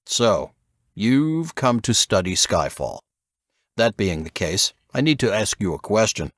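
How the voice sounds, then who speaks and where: Stern, low-voiced old intelligence veteran, played by a man. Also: big pitch swings, a bit bright, dry recording, heavily acted. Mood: probing. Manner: terse, commanding, deliberate. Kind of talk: audiobook character dialogue.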